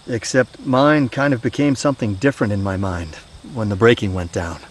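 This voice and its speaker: An actor playing a weary, haunted middle-aged soldier and gentle father in an audio drama, halting and confiding. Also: lowish voice, slightly rough. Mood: earnest, confiding. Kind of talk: naturalistic audio drama acting. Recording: steady outdoor night-insect noise.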